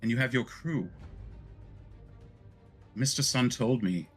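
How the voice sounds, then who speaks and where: A man voicing a frail elven noblewoman in a tabletop game, earnest and solemn, tender yet quietly indignant. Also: medium pitch, slow, voice pushed up, natural voice. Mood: earnest, reassuring. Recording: music behind the voice.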